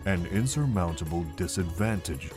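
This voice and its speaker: Man acting a deep-voiced, weary monk. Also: expressive, moderate pace, over music. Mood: grave, earnest. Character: weary, kindly older monk recounting the past. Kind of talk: fan visual novel voice acting.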